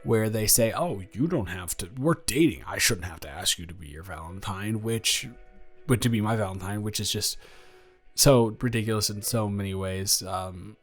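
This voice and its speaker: A man playing a nervous, earnest male best friend confessing a crush in a halting, rambling, improvised audio roleplay. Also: lowish voice, lightly acted. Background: music.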